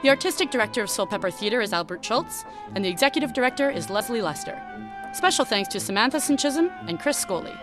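A woman announcer delivers scripted credits in a fairly high voice. Music plays clearly behind her. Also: expressive, quick pace. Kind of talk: scripted narration.

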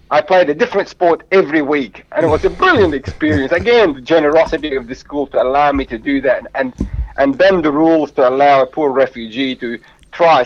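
A man's voice: on the high side, muffled recording. Earnest man with an Arabic accent. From Lebanon. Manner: earnest, warm storytelling with frequent restarts. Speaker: guest. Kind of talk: interview answers.

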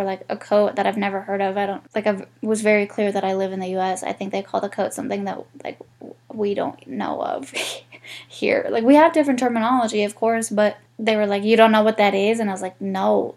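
Congested woman, mid-twenties, casual vlogger, swears, rambles. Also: medium pitch. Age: 20s. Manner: candid, swears freely, rambling. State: congested, stuffy nose. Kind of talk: casual chat to camera.

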